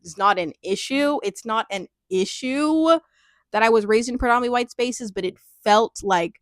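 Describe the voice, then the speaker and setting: A young adult woman speaking candidly in a reflective personal monologue, thoughtful and searching, with frequent "like" fillers and soft laughs. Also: on the high side, moderate pace, no echo, clean studio sound. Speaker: personal storyteller.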